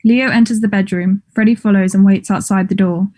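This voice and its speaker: Mellow woman reading stage directions. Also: medium pitch, steady tone, a little fast. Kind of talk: scripted narration.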